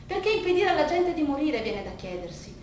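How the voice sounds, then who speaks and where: A weary, reflective veteran psychiatrist, acted by a woman in a stage monologue, with a high voice. She speaks deliberately and builds through repetition, disillusioned after decades of work. The sound is very echoey, picked up at some distance. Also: sing-song, darkish tone. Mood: bitter, questioning. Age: older. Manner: measured, deliberate, rhetorical repetition. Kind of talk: theatrical monologue. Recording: roomy, distant stage recording, faint noise.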